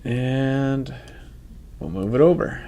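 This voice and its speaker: A man with a head cold, talking casually through a software tutorial and correcting himself as he goes. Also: mid-range voice, slow, vocal fry. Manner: casual, thinks aloud, self-correcting. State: congested from a cold. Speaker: software instructor. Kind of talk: screen-recorded software tutorial.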